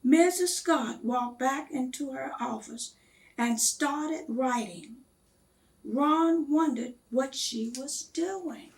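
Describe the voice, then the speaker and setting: A woman reading a storybook aloud to children in a deliberate, expressive manner. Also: sits high, gravelly. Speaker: read-aloud guest reader.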